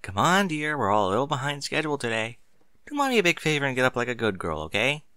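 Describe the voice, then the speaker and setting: A man's voice: mid-range voice, sing-song, fast, pushed and bright, smooth, no room sound, studio quality, voice pushed up. A man voicing an exasperated, stern mother of children in an audiobook, speaking in an emphatic, motherly way. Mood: gentle, coaxing. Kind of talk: audiobook character voice.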